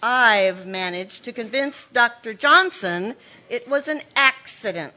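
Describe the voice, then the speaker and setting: A cold, scheming older sister in a 1940s-style radio mystery, played by a woman on stage. She talks slowly and deliberately, each word sharpened with sarcasm. Her bright voice swings widely in pitch as she needles her sister. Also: lively intonation, full character voice. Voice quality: cutting, sarcastic, slow and deliberate. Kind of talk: live old-time radio drama.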